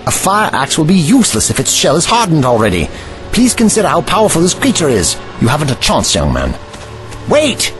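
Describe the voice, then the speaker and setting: Man playing a formal, lively-voiced older time traveler. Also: a little high, brisk, music in the background. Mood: stern, urgent. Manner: formal, avuncular, precise. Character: the Doctor, older commanding male alien time traveler, protective. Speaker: actor in a sci-fi audio drama. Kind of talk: audio drama acting.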